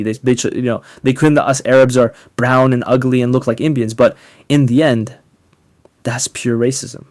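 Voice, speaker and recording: A man delivering a polemical monologue as an online commentator, speaking emphatically and rhetorically with deliberate pauses on key words. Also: mid-range voice, a little mellow, no echo. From North Africa. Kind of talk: polemical monologue to camera, partly scripted.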